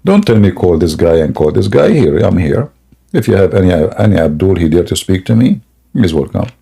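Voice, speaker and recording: A man hosting a live debate stream in a scoffing, jokey way, laughing often and clearing a sore throat. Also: sits low, very animated, fairly quick, warm tone. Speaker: livestream debate host. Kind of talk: mocking live debate chat.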